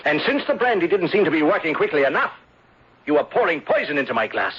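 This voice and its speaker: A man's voice: fairly high pitch, sing-song, fairly bright tone, husky, exaggerated voice. An actor playing a bitter, vengeful bereaved man who theatrically denounces a murderer in an old-time radio drama. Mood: accusing. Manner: theatrical, deliberate accusatory speeches. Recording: dull lo-fi vintage radio recording.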